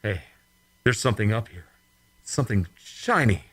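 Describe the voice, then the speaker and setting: A man acting in an old-time radio drama as a shaken, insistent rocket test pilot, speaking urgently and haltingly. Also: fairly low pitch, lively intonation, a bit bright, husky, dry recording. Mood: alarmed, entranced.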